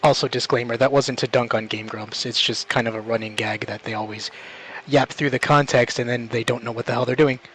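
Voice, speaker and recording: A man's voice: mid-range voice, fairly even intonation, brisk, fairly bright tone, soft background music, poor audio. A man commenting casually on the game he is playing, in a jokey, self-deprecating way.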